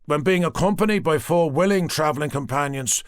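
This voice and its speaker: A man's voice: on the high side, a little fast, dry recording, clean studio sound, pitched above the performer's own voice, close to an everyday voice. A man voicing a manic, zealous priest who preaches fervently and slips into rapture. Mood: sermonizing. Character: manic, zealous middle-aged male priest.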